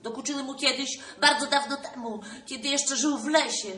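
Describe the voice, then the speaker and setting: A woman's voice: high-pitched, steady tone, moderate pace, bright, forceful tone. A woman playing a sweet, eager young boy bear in a children's play, with an exclamatory, wheedling manner. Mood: tender. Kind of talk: children's musical theatre dialogue. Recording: roomy, distant, clearly noisy stage recording.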